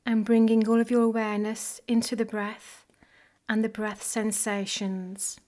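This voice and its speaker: A woman leading a guided body scan meditation in a soft, gentle, measured way. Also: a little high, little pitch movement. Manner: gentle, measured, unhurried phrasing with pauses.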